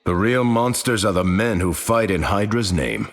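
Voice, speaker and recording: A man voicing an upright veteran team leader in a video game, calm and commanding. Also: on the low side, normal speed, long reverb tail. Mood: steady.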